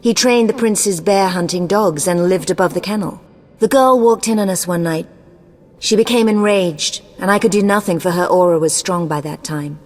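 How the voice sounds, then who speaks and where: Scheming, haughty sorceress played by a woman, fantasy game: slightly low, measured, imperious, heavy hall reverb. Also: lightly acted. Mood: cool, evasive. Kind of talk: video game dialogue acting. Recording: long echoing hall reverb.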